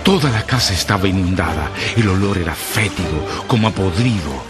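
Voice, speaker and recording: A man's voice: sits low, animated, normal speed. A man narrating a scripted horror radio drama in a grave, dramatic, ominous manner. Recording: loud music under the voice.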